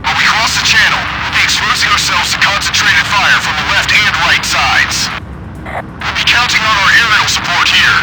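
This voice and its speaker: An actor playing a brisk, wisecracking army sergeant talking over military radio in a video game. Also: male voice, sits high, natural voice. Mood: businesslike. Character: wisecracking male army sergeant leading a landing team. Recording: loud music, aircraft and battle noise.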